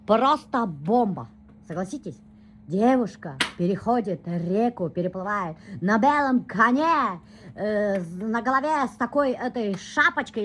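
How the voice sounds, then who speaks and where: Woman vlogging from a studio, chatty and jokey, voice bouncing all over, a bit high. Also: fairly bright tone, a little hiss or hum. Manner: chatty, joking, self-mocking. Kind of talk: casual studio vlog chat. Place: recording studio.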